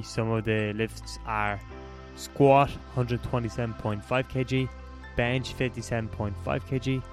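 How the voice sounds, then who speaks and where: A man hosting a podcast, reading a steady upbeat introduction and then chatting with his guest. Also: medium pitch. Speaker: podcast host. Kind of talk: scripted intro, then casual interview.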